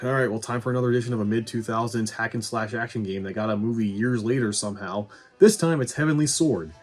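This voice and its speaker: A man's voice: mid-range voice, quick pace. A man giving a casual scripted review commentary, with sarcastic dry humour and some swearing. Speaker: video game movie reviewer. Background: faint music.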